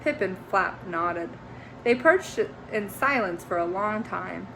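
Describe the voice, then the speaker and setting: A woman teacher reading a children's storybook aloud with expressive, gentle storytelling. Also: mid-range voice, slow, a little hiss or hum, low-quality recording.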